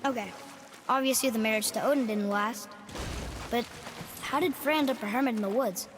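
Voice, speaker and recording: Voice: medium pitch, a little fast, big-room sound, background noise, lightly acted. An actor voicing an earnest, defiant young boy, a warrior's son, in a cinematic video game. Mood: curious. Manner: earnest, inquisitive.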